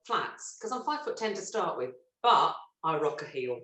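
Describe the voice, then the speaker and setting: A middle-aged woman from Britain, a stage actress telling lively, self-mocking stories in an interview and laughing often. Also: low-pitched, normal speed. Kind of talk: relaxed anecdotal interview chat. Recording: roomy echo.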